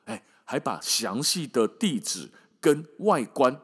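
A man's voice: medium pitch, big pitch swings, slow, fairly bright tone, breathy edge. A man with a Taiwanese accent, a podcast host telling a fairy tale casually with irreverent, colloquial comic asides.

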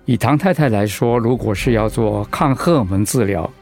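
Calm, gravelly male doctor. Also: on the low side, faint music behind. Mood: measured, advising. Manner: measured, explanatory, professional. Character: calm, measured male doctor delivering a diagnosis. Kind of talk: radio drama acting.

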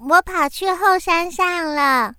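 High-pitched, excitable little girl. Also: takes it slowly, no echo, clean studio sound, exaggerated voice. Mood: sheepish, tearful. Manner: expressive, cutesy childlike delivery. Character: small, kind-hearted, excitable little girl, youngest sister. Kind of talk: children's radio drama acting.

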